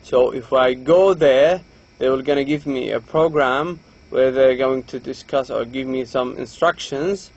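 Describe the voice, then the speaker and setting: Saudi man lecturing, slightly dull recording. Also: fairly high pitch. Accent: Saudi Arabic. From Saudi Arabia. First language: Arabic. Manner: reads a textbook aloud and explains with frequent restarts. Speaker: university English lecturer.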